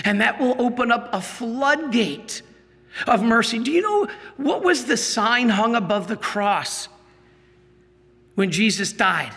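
A priest preaching in a church, his high, bright voice carrying a breathy edge. He talks slowly and deliberately, stumbling over restarts before pressing his points. A long reverb from the hall trails after every phrase. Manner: slow storytelling, halting restarts, rising to emphatic teaching. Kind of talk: sermon with a personal story.

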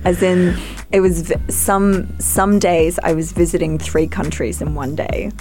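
A woman from Australia answers interview questions in an earnest, reflective way, laughing now and then. Her voice sits on the low side. Faint music plays beneath her. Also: level tone, unhurried, a little mellow. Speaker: guest.

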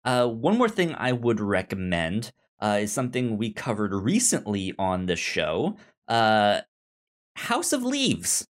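A man's voice: fairly low pitch, wildly swooping pitch, slow. A man with a pronounced stutter, chatting casually as a podcast co-host about things he recommends. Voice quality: pronounced stutter, repeating sounds and syllables. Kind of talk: casual discussion and recommendations of books and shows.

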